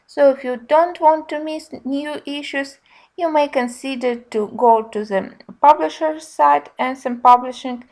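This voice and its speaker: A woman giving a casual review narration in simple, slightly halting non-native phrasing. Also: high-pitched, a little mellow. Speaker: colouring book reviewer.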